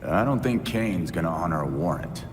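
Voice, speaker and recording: An actor playing a tough, skeptical vigilante in a video game, with a blunt, wry delivery. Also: male voice, low-pitched, lively intonation, moderate pace, big-room sound, noisy background. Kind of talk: dramatic video game cutscene acting.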